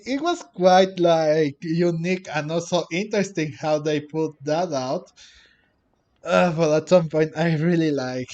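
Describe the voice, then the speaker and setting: A man's voice: sits high, muffled recording. A man hosting a game playthrough, reading dialogue aloud and commenting in a rambling, hesitant way. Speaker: gaming video host.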